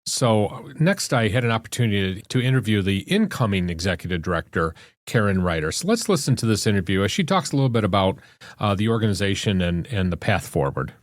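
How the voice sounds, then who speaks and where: A man hosting a podcast, reading a measured scripted introduction. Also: lowish voice, big pitch swings, no room sound, studio quality. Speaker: podcast host.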